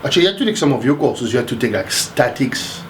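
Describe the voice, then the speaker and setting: A man's voice: mid-range voice, big pitch swings, fast talker, a little hiss or hum. A man in his sixties from Haiti, speaking casually in an interview and rambling through his memories with many restarts. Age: 60s. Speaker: interviewee, math professor.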